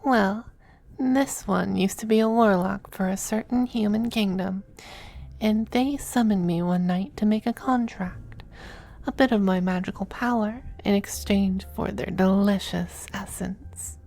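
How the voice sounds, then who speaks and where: A woman voicing a suave, possessive demon femboy in an ASMR roleplay, with genteel, teasing endearments and a breathy voice that dips into whispers. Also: mid-range voice, unhurried, mellow. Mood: languid, teasing. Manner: genteel, languid, commanding endearments.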